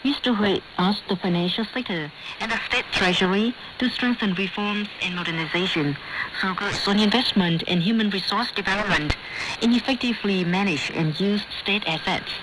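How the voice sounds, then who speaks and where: A woman's voice: medium pitch, moderate pace. A woman reading formal scripted news as a radio newsreader, heard over shortwave radio. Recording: noisy, hissy shortwave radio broadcast.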